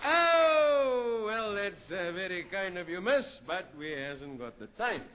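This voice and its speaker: A blustering, self-important police sergeant. His voice sits high, and the old radio recording sounds thin and phone-like. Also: sing-song, takes it slowly, full character voice. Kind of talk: 1970s radio comedy sketch. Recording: tinny lo-fi radio broadcast.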